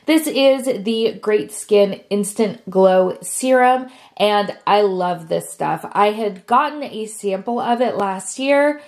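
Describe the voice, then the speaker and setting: A woman's voice: medium pitch, takes it slowly, a little mellow. A woman, sick and sniffly, chatting casually to camera as a beauty vlogger. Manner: chatty and friendly, with frequent fillers.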